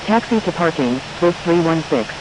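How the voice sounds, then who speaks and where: High-pitched man on noisy aviation radio calls. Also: lively intonation, moderate pace. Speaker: air traffic radio operator. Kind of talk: scripted air traffic radio phraseology.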